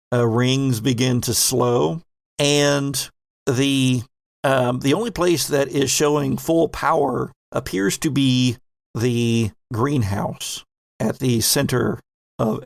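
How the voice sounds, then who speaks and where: A man with a gravelly, creaky voice works as a tabletop game master, recorded close and very dry. He improvises the story conversationally, speaking straight to his players. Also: mid-range voice, dry recording. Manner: conversational narration, addresses players directly.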